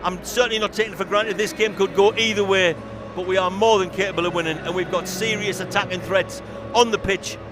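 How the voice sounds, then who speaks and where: A man's voice: high-pitched, steady tone, fairly quick, music in the background. A man talking as a guest on a live pre-match football show, upbeat and emphatic, speaking outdoors at a stadium. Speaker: studio guest. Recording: loud outdoor noise behind.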